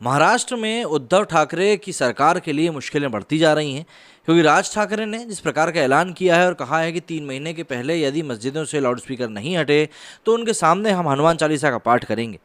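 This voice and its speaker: Higher-pitched man presenting news commentary. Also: expressive, a little fast, fairly bright tone. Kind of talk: news commentary, partly read from reports.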